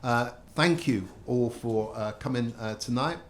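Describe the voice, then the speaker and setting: Halting male event host. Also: medium pitch, a little hiss or hum. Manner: halting, many fillers and repeated words. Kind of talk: closing remarks and thanks at a public event.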